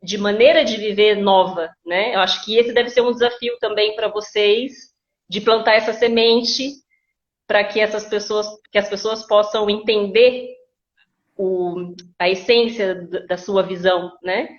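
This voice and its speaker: A woman's voice: mid-range voice, fairly even intonation, unhurried. Rambling Brazilian woman hosting a live. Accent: Brazilian Portuguese. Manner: warm, rambling, many restarts. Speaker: host. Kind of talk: live online interview. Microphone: video call. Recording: dull lo-fi sound.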